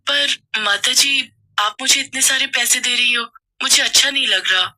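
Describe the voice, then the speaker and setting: A woman's voice: high-pitched, normal speed, sharp tone, pitched above the performer's own voice, heavily acted. Woman doing a humble young maid. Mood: pleading. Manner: humble, pleading.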